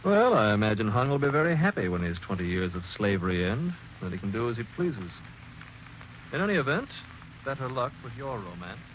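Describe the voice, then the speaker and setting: An actor playing a smooth, calculating, discreetly scheming doctor in 1940s radio style. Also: male voice, mid-range voice, very animated, brisk, lightly acted. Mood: cordial. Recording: dull, noisy old radio recording.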